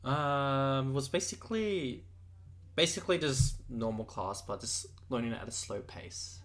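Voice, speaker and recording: A woman's voice: rumbling low, expressive, measured pace. A young woman, co-hosting a casual podcast with short, plain remarks. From Australia. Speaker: podcast co-host. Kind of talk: casual chat.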